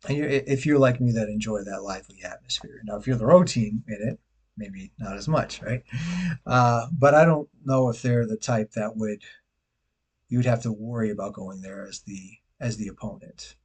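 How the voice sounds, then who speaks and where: A man's voice: fairly low pitch, moderate pace, slightly rough. A man in his forties from Detroit, chatting casually to camera as a travel vlogger, rambling with restarts and the odd chuckle. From Detroit, USA. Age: 40s.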